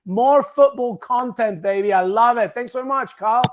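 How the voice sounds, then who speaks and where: A man's voice: extremely high pitch, dull audio. A man from Peru, a native Spanish speaker, hosting a football show and interviewing a guest with upbeat, enthusiastic questions. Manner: upbeat, warm praise, quick questions. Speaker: sports show host.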